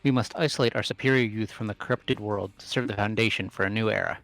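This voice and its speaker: A man's voice: fairly low pitch, fast talker, creaky, a bit bright, faint music behind. A man playing a solemn, resolute school headmaster delivering grave lines in a video game readthrough. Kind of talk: amateur game voice acting.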